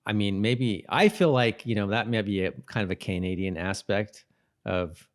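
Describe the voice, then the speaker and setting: A man's voice: on the low side, moderate pace. A man co-hosting a podcast in casual TV recap chat, talking in a rambling, self-correcting way. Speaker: podcast co-host.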